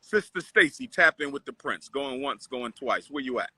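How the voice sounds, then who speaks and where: A man's voice: medium pitch, animated, normal speed, pushed and bright, slightly rough, no echo. A middle-aged man hosting a livestream, preaching and ranting to viewers he calls brothers and sisters, then chatting with a caller. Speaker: livestream host. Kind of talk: heated livestream rant and caller chat.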